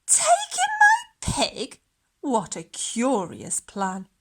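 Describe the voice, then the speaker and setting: A woman's voice: fairly high pitch, wildly swooping pitch, extremely slow, background noise, heavily acted. A woman voicing a little old lady in a children's rhyming storybook, grumbling and flustered, with theatrical, wailing exclamations. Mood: plaintive.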